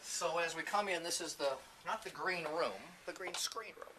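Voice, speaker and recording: Male tour presenter, sharp bright voice on the higher side, casual and unscripted, recorded indoors with faint ambient noise. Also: normal speed, rough recording. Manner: informal, chatty, off-the-cuff. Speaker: CEO and presenter of a training company, giving a tour. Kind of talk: casual walking tour narration. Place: indoors, walking through a video production facility. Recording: light background noise, room ambience.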